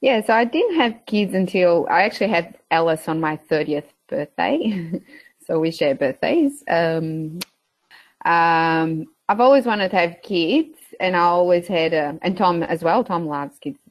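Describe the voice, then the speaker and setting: A woman's voice: lowish voice, animated, a little slow. A woman in her thirties from Portugal with a Portuguese accent, talking casually as an interview guest, hesitant with many fillers. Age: 30s. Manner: hesitant, many fillers, laughs between phrases. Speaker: guest, beef farmer.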